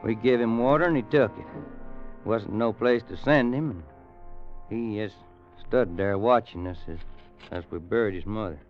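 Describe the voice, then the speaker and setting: Male actor as old cowboy rancher, Western drawl, low voice, folksy, grimly tender, muffled vintage radio sound. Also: moderate pace, soft background music, natural voice. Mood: somber. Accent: American Western drawl. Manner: folksy, rustic grammar. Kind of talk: 1950s radio western acting and narration.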